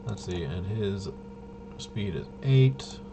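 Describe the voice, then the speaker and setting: Man, lowish voice, unhurried, halting self-talk, casual game walkthrough, clear background noise with keyboard typing. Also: animated. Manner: muttering to himself, halting, trailing off. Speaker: solo tabletop RPG player demonstrating gameplay.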